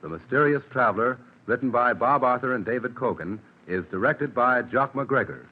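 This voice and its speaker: Old timey radio announcer man, formal, lively inflection, really tinny 40s recording. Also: medium pitch, moderate pace. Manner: formal, polished. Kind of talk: 1940s radio announcing, scripted credits.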